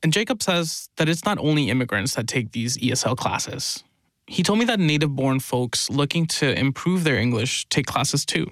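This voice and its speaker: A man's voice: mid-range voice, lively intonation, normal speed, a bit bright, dry recording, poor audio. A man reporting on a conversational news podcast, explaining things in a lightly amused way.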